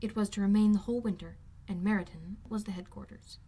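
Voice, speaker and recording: A woman reads a classic novel as an audiobook. Her voice sits at a medium pitch, in a scripted literary narration style. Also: fairly even intonation, fast, dark tone, slightly rough. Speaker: amateur audiobook reader.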